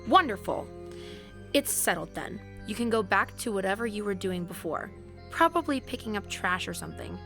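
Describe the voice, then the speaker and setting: Actress as a female superior officer for audio drama, mid-pitched, deliberate, in charge with a teasing edge. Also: expressive, pushed and bright, faint music behind. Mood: brisk, wry. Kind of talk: scripted audio drama acting.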